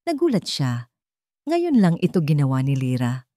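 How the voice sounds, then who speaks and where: A woman's voice: deep voice, wildly swooping pitch, normal speed, dark tone, no room sound, studio quality. A woman reading scripted narration for a dramatic story, as its storyteller.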